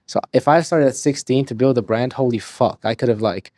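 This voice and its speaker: Fast-talking young man. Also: mid-range voice, a little mellow, no room sound, clean studio sound. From Hungary. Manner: rapid, rambling, swears freely, restarts sentences. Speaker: podcast guest. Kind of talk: casual interview talk.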